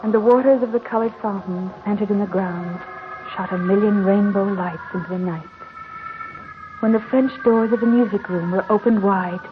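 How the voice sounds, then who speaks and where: A woman's voice: mid-range voice, background noise, lightly acted. An actress playing a sheltered, wistful young heiress who tells her story formally in a 1940s radio drama style. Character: sheltered, wistful 21-year-old heiress, genteel and melancholy. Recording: muffled tinny 1940s radio recording.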